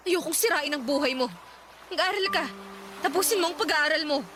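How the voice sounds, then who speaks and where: A woman's voice: sits high, brisk, background noise, lightly acted. An actress playing a self-sacrificing, poor young woman, speaking firmly and emotionally in a radio drama. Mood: distressed, insistent. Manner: firm, emotional.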